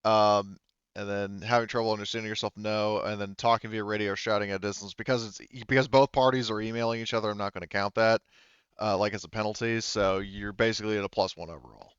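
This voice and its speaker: A man's voice: fairly low pitch, level tone, quick pace, fairly bright tone, clear, resonant tone, no room sound, highs cut off. A man talking casually as game master of a tabletop roleplaying game, thinking aloud as he explains rulings.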